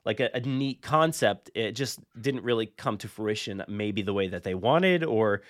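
A man hosting a podcast in casual chat, talking in a rambling, excitable way and often interrupting himself. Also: on the low side, normal speed, no echo, studio quality. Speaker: podcast host.

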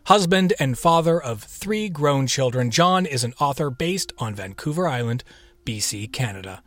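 A man's voice: medium pitch, animated, moderate pace, a bit bright. A man hosting a podcast with a playful, theatrical manner, chatting his way through a scripted introduction. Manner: playful, theatrical, with whispered echo-like repeats and asides. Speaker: podcast host.

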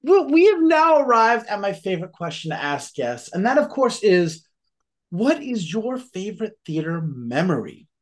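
A podcast host with a lively, swooping voice and a mid-range pitch. They gush with effusive praise between questions. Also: normal speed. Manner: enthusiastic, effusive. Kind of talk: interview hosting.

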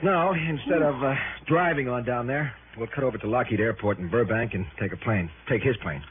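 A man acting an excitable, irritable, chatty American insurance man at the wheel in an early 1960s radio drama, emphatic and rambling. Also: mid-range voice, a little fast, natural voice. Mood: eager, explanatory. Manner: rambling, emphatic, easily exasperated. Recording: muffled old radio recording, loud car noise.

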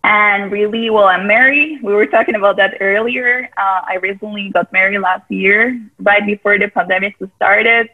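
A woman's voice: medium pitch, steady tone, moderate pace, few pauses. A woman from Rica, a guest chatting casually over a video call with lots of "like" fillers and loose grammar. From Costa Rica. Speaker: guest, part-time yoga teacher. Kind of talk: casual interview chat. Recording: phone-like call audio.

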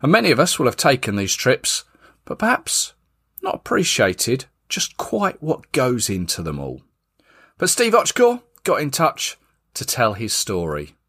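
Male podcast host, animated intonation, easy conversational manner. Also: mid-range voice, a little slow, slightly rough. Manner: friendly, conversational questioning. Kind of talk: podcast interview.

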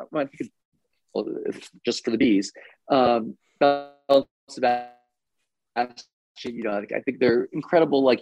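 A man from France talking casually as an interview guest, halting and thoughtful and often chuckling. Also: mid-range voice, a little mellow, no echo, rough recording. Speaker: interview guest, singer-songwriter and actor.

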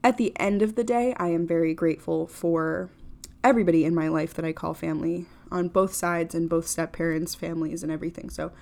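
Young woman around twenty, casual podcast monologue, upbeat and self-deprecating, a touch lower in pitch. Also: steady tone, a little fast, gravelly, a little mellow. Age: 20s. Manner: chatty, upbeat, self-deprecating with many fillers. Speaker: podcast host.

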